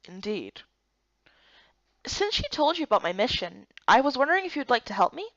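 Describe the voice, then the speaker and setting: A woman's voice: high voice, big pitch swings, quick pace, a bit bright, no echo, highs cut off. A woman narrating a voiced game story and speaking as its heroine.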